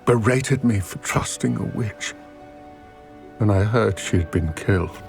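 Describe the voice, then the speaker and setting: An actor voicing an earnest, remorseful goblin in a video game, speaking formally and gravely. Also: male voice, lowish voice, music in the background. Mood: wistful, grave. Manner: measured, formal, solemn. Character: earnest, remorseful male goblin, wary of his ruthless kin. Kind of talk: dramatic video game dialogue.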